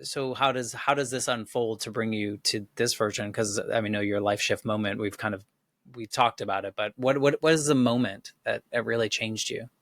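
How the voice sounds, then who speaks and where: A man's voice: fairly low pitch, fairly even intonation, a bit bright, no echo. Male podcast host, casual, thinks aloud, restarts, dry close sound. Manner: halting, repeats words while thinking aloud. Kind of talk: casual conversational interview.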